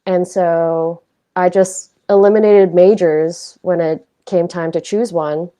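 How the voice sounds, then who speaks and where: A woman's voice: lowish voice, fairly even intonation, slow pace, warm tone. A young woman from Hong Kong, a guest artist talking casually and reflectively about her work, poking fun at herself along the way. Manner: reflective, self-deprecating, loose with fillers. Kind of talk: casual conversational interview.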